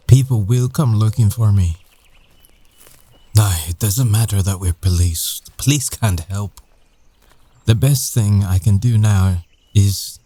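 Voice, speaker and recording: A man playing a tender, weary werewolf ex-boyfriend in an intimate ASMR roleplay, with hushed, halting delivery. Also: on the low side, dry recording. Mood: grave, urgent.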